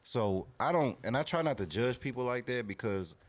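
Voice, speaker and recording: A man's voice: mid-range voice, animated, normal speed. A man in his thirties talking casually and earnestly as a podcast guest, opinionated and slangy. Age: 30s.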